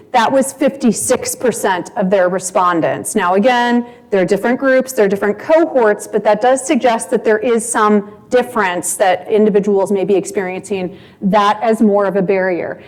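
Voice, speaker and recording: A woman presenting survey results at a conference session, measured and thorough, pausing often with ums as she walks through slides. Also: fairly high pitch, rough recording.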